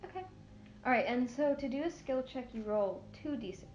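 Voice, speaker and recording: A young woman with a somewhat high voice guides a tabletop game as its game master, pausing often with fillers. The recording is roomy and a little dull, and faint music plays behind her. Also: animated, darkish tone, slight background noise. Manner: hesitant, filler-heavy narration.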